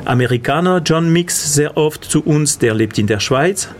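A man's voice: a little high, animated, moderate pace, slight background noise. A middle-aged man from Catalonia with a Spanish accent tinged by French, speaking hesitantly with many fillers as he answers interview questions. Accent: Spanish, with French influence. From Catalonia, Spain. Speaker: guest, association organizer.

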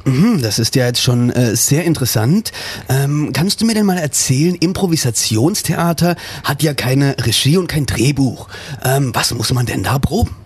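Husky, hesitant man on radio. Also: mid-range voice, animated, normal speed. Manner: hesitant, many fillers. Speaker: improv actor and co-host. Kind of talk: radio interview. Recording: radio studio.

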